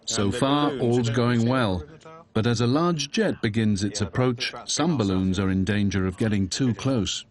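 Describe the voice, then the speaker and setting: A man's voice: mid-range voice, unhurried. A man reading scripted documentary narration for television. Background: loud music.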